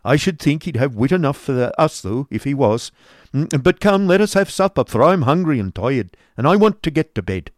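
A coarse, hectoring schoolmaster barks in a raised, strained register, as a male reader puts him on. He is testy and bullying, his pitch swinging about. The sound is dry and close. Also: very animated, moderate pace, no room sound, natural voice. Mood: gruff.

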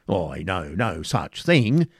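A man's voice: sits low, sing-song, slow pace, vocal fry, husky, no room sound, exaggerated voice. Male reader as belligerent storybook friar: burly, bombastic, archaic diction, dry sound. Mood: defiant. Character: burly, belligerent, blustering medieval friar, quick to fight.

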